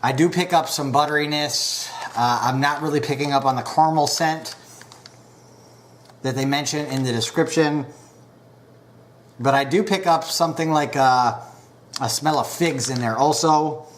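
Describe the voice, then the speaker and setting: A man casually reviewing pipe tobacco, unhurried and full of filler pauses, a bit stuffed up from a recent cold. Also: fairly high pitch, slight background noise.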